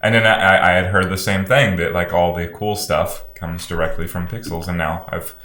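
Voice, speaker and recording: A man's voice: deep voice, steady tone, moderate pace, pushed and bright. A man from Louisiana chatting casually about movies as a podcast co-host. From Louisiana, USA. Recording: roomy, echoing podcast recording.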